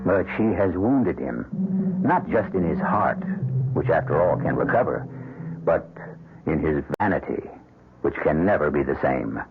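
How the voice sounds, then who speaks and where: Deep sardonic radio mystery host. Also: male voice, animated, a little hiss or hum, full character voice. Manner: sardonic, deliberate, dramatic. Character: sardonic, worldly male mystery-show storyteller. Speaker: host and narrator. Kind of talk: old-time radio narration. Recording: tinny vintage radio broadcast, music behind.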